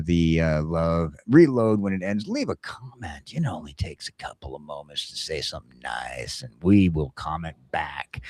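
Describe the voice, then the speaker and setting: A man hosting a casual livestream chat, talking in a laid-back, halting, chatty way. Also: rumbling low, darkish tone, no room sound, clean studio sound. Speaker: talk show host.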